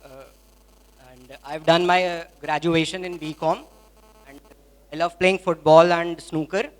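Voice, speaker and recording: A man's voice: on the high side, fairly even intonation, a little slow, rough recording. A young man with an Indian accent politely introducing himself, with some fillers. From Lucknow, India. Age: young adult.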